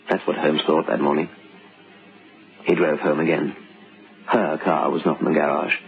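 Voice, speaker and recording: An ominous radio storyteller, extremely deep and creaky. He narrates a suspense tale on a narrow, faintly hissy vintage recording. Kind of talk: old-time radio narration. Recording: band-limited, slightly noisy old radio recording.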